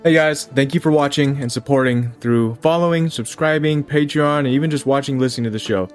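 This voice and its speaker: A man's voice: medium pitch, a little fast. A man hosting a show, giving a scripted thank-you message to the audience. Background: music.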